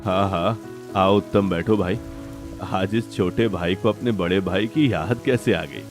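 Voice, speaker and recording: A proud village father, played by a man, speaks in a low, firm voice. He is earnest and dignified, a stubborn elder brother in a cartoon melodrama. Music runs softly underneath. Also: unhurried. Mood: welcoming. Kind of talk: Hindi cartoon family melodrama.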